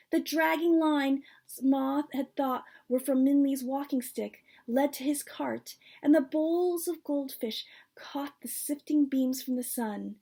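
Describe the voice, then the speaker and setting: A woman's voice: sits high, dark tone, breathy edge. A woman reading aloud from a storybook expressively, as an author sharing her own work.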